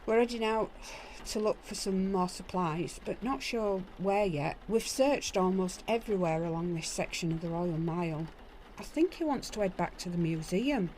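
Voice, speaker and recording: A woman playing a dutiful female guard who reports in a crisp, formal way. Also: fairly low pitch, normal speed, darkish tone, slight background noise, lightly acted, low-quality recording. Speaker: guard in audio drama. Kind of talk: audio drama acting.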